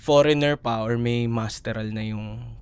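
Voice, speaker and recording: A man with a Filipino accent playing an affectionate, teasing young college boyfriend in an intimate, softly hesitant ASMR roleplay. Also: medium pitch, fairly even intonation, measured pace, clear, resonant tone, natural voice. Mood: gentle, wistful concern.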